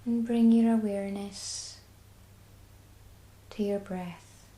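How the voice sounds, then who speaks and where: A woman with a Scottish accent guiding a body scan meditation, speaking slowly and soothingly. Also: mid-range voice, steady tone, roomy sound, rough recording. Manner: slow, soft and soothing. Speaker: mindfulness guide.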